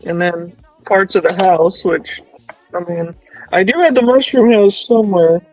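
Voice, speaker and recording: A man in his thirties chatting on a stream about his toy collection, excited and rambling with lots of restarts. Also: high voice, a little slow, dry recording, muffled recording, soft background music. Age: 30s. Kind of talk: casual chat.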